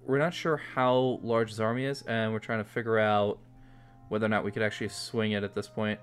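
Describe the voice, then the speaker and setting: A man's voice: fairly low pitch, little pitch movement, fairly quick, clear, resonant tone. A man streaming a strategy game, talking in a hesitant, polite way with frequent restarts. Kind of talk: casual multiplayer voice chat.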